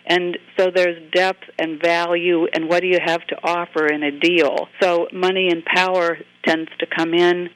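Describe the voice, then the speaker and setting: A woman's voice: on the low side, flat delivery, unhurried, few pauses, no echo, rough recording. A woman giving a casual astrology forecast as a guest, chatty and thinking aloud as she goes.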